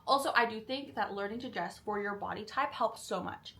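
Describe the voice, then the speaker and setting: A woman's voice: medium pitch, brisk, pushed and bright. A young woman from Singapore in a casual question-and-answer chat, talking candidly with frequent "like" and "um". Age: young adult. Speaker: vlogger.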